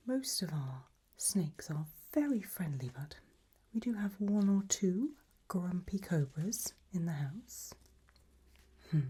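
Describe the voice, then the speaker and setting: A woman's voice: fairly low pitch, slightly rough, full character voice. A woman playing an elegant, macabre gothic matriarch in an ASMR roleplay, whispering breathily in a languid, gracious manner. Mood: darkly amused. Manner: languid, gracious, soft-spoken.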